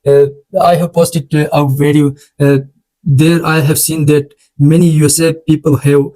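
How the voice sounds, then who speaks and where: A fairly high-pitched man with an Urdu accent gives informal advice on learning English. His voice is mellow and dark-toned, and he speaks in a level, flat way. He often stops short and fills the gaps with hesitant sounds. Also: low-quality recording. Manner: halting, full of hesitant fillers. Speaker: host of an English-learning channel.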